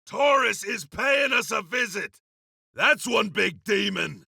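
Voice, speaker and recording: A man voicing a battle-hungry iron berserker for a video game, gruff and cocky, with rough, forceful barks. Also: high voice, lively intonation, slow, no echo, studio quality, full character voice. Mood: battle fury. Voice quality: gravelly, rough.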